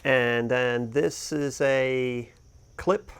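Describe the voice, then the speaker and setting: A man's voice: mid-range voice, steady tone, very slow, a little hiss or hum. A man hosting a boating gear review, talking casually and off the cuff as he thinks aloud. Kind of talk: casual unboxing and review talk, unscripted.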